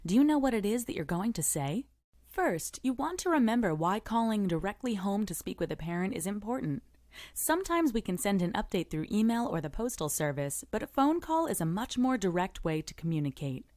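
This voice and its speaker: A woman presenting scripted instructional narration, giving encouraging, clearly structured advice as a podcast presenter. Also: mid-range voice, darkish tone, no echo, studio quality.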